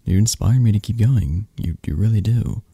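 A man acting as a possessive mafia boss doting on his lover in soft ASMR roleplay. His low voice is very dark and mellow, with a gravelly, husky rasp. He speaks tenderly and haltingly, close and protective. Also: no echo, heavily acted. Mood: possessive, devoted. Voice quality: husky, breathy. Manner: slow, hushed, tender, halting.

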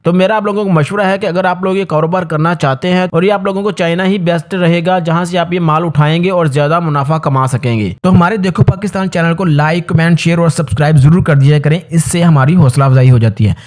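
A man with a Pakistani accent narrates an explainer as its host. His voice is high for a man. He speaks straight to the viewer in an instructive, scripted voice-over style. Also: fast, hardly any pauses. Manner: addresses viewers directly, instructive. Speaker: video host.

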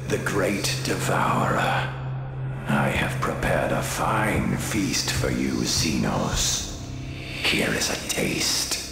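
Coldly grandiose villain sorcerer, very slow theatrical gloating, echoing, loud music behind, video game. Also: male voice, extremely low pitch, sing-song, vocal fry, heavily acted. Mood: sinister gloating. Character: malevolent male sorcerer, savoring doom, coldly grandiose.

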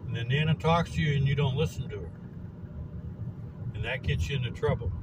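A man's voice: medium pitch, a little fast, far from the mic. An older grandfather chatting plainly while driving, giving matter-of-fact advice from the driver's seat. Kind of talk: casual chat while driving. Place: inside a moving car. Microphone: phone held by passenger. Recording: loud road noise, phone a bit off-mic.

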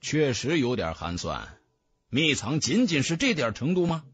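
A man playing a cool, low-key young hero. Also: very animated, a little slow, fairly bright tone, slightly rough, soft background music, heavily acted. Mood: disappointed. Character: calm, self-assured, knowing young male hero. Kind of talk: fantasy audiobook character acting.

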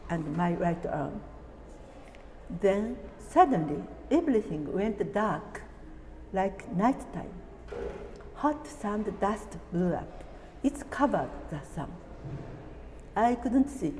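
Elderly woman whose first language is Japanese, slow careful delivery, mellow tone, reverberant room. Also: mid-range voice, a little hiss or hum. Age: 80s. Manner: slow, careful, deliberate reading from notes. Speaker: atomic bombing survivor. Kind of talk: reading a prepared testimony. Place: indoor room, seated at a table.

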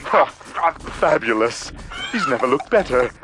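An actor playing a petty, gleefully mean mad scientist in a video game cartoon, sarcastic and gloating. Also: male voice, mid-range voice, sing-song, unhurried, noisy background. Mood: smug sarcasm. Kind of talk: animated video game cutscene acting.

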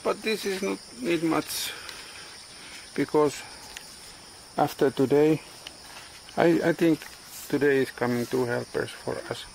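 A man in his forties vlogging casually outdoors on a farm, speaking in halting English and searching for words, recorded on a handheld phone. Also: a little high, vocal fry, a little mellow, slight background noise, poor audio. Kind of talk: casual vlog narration. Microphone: phone camera at arm's length. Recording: outdoor ambience with insects and animals.

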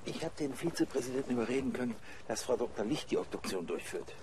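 A man's voice: mid-range voice, animated, fast talker, noisy background, natural voice. A man playing a fussy, self-important provincial police chief who speechifies pompously and hesitantly in a TV drama. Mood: confiding.

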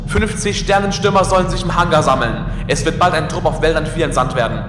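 A man's voice: fairly high pitch, fast talker, noisy background, lightly acted. A man playing a firm, insistent military commander in a sci-fi audio drama. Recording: echoey hall, distant, loud music behind.

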